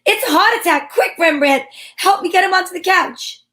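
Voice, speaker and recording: High-voiced breezy lady sleuth played by a woman. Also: animated, pushed and bright, heavily acted. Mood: urgent, grave. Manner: brisk, sensible, wry. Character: Candy, breezy take-charge female amateur sleuth. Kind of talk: old-time radio mystery acting with first-person narration.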